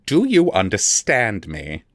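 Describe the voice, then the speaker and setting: A man's voice: lowish voice, wildly swooping pitch, dry recording, clean studio sound, pitched above the performer's own voice, heavily acted. A man doing a sneering, cruel interrogator. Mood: cold, demanding. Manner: sneering, purring, drawn-out. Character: cruel, pompous man, high academic interrogator. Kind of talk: audiobook character voice.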